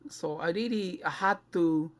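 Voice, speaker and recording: A male podcast host with a Japanese accent talks in a conversational way. His English is non-native and comes out haltingly, phrase by phrase. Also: a little high.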